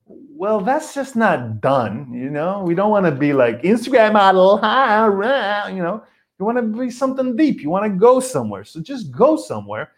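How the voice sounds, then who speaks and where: A man, a comedian hosting a casual podcast, rambling and animated with many fillers while he reads viewer comments. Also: fairly high pitch. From Israel. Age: 40s.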